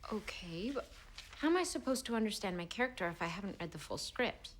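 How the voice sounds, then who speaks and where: An actress playing a nervous, polite, eager young novice in a period TV drama, hesitant and deferential. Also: female voice, medium pitch, animated, fast, lightly acted.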